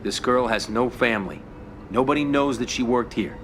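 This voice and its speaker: An actor playing a confident, controlling mafia don in a video game cutscene. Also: male voice, mid-range voice, normal speed, fairly bright tone, slight background noise, close to an everyday voice. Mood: coaxing control.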